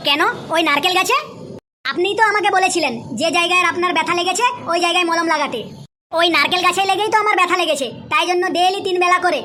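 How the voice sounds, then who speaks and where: A naive, complaining cartoon patient acted by a woman with an extremely high, bright voice. The words come quickly and earnestly, with a simpleton's pleading. Some noise runs behind the voice. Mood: earnest, indignant. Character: foolish, literal-minded, complaining cartoon patient. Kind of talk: comedy cartoon voice acting.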